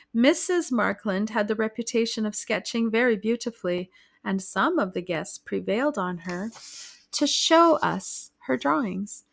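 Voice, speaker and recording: A woman voicing a frightened young wife who speaks wearily and calmly of her troubles. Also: a little high, vocal fry, no echo, studio quality, pitched above the performer's own voice. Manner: wearily calm, pleading.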